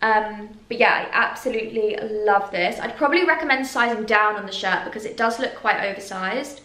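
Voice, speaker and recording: A young British woman in her twenties, chatting enthusiastically to camera in a bright room as she shows clothes. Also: medium pitch, fairly quick, echoey room. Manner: gushing and enthusiastic. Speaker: fashion vlogger. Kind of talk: casual clothing haul to camera.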